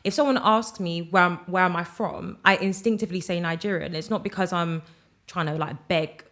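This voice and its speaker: Candid young woman, low voice. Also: fairly even intonation, fairly quick, fairly bright tone. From Britain. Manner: opinionated, candid, laughs often. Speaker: podcast co-host. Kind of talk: casual podcast discussion.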